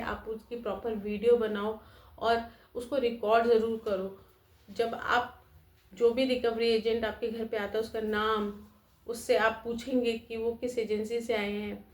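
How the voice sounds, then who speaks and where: A woman's voice: a little high, darkish tone, echoey room. A woman lawyer explaining legal advice directly to viewers in an instructive way. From India. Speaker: lawyer giving legal advice, channel host.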